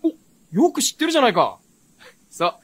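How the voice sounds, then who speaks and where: A brash young servant in a period radio drama, cheeky and casual. The voice is high for a man and swoops around in a very animated way. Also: normal speed, full character voice. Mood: knowing, amused. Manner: casual, cheeky, rough speech. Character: brash, breezy, rough-spoken young male retainer.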